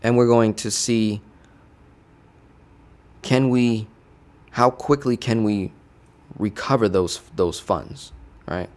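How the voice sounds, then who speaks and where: A man's voice: fairly low pitch, takes it slowly, long pauses, darkish tone. A man casually walking viewers through startup costs as a finance educator, playful and chatty, constantly checking in with "right?". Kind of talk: casual teaching walkthrough. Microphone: studio desk mic.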